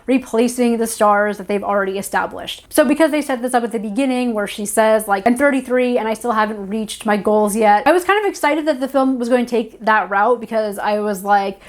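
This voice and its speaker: A woman in her early thirties hosting a casual film review, talking in a chatty, animated way with lots of "like.". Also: fairly high pitch, fairly quick, few pauses. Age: early 30s. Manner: chatty, animated, says "like" often. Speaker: horror film reviewer, channel host.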